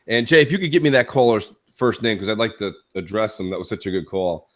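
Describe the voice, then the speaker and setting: A man's voice: lowish voice, quick pace. A man co-hosting a gaming talk show, speaking emphatically in opinionated, rhetorical bursts and often checking in with "okay" and "listen".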